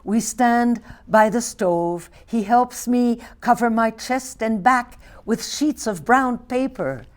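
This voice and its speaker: Slow-reading older Flemish woman poet. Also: on the high side. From Belgium. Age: 80s. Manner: soft, measured reading with whispered passages. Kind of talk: poetry reading.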